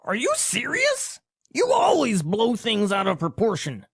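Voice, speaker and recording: A woman's voice: fairly high pitch, wildly swooping pitch, a little slow, slightly rough, no echo, studio quality, exaggerated voice. A woman acting a sick young woman in a short recorded teaching dialogue, complaining of itching and brushing off her friend's worry. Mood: defensive. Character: young woman with itchy chicken pox, defensive. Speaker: dialogue actor.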